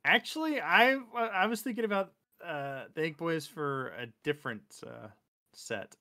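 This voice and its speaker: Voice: medium pitch, expressive, measured pace, pushed and bright. A livestream co-host chatting casually in an informal, sweary way.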